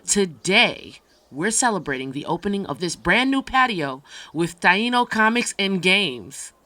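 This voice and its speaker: A woman's voice: medium pitch, very animated, measured pace, pushed and bright, no room sound. A woman playing an announcer at a shop opening in an audio drama, speaking in a public, slightly exasperated way. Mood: announcing.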